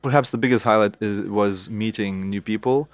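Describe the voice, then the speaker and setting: A young man with a Lithuanian accent, a native Lithuanian speaker, answering interview questions in halting English and often restarting as he searches for words. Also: fairly low pitch, dry recording. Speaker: guest artist.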